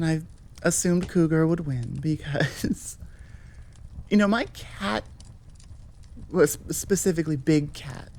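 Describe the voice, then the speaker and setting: American female creator-host, lowish voice, leisurely pace, loose rambling chat style. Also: a little mellow. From Nevada, United States. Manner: informal, self-correcting, chuckling asides. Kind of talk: casual rambling outro chat.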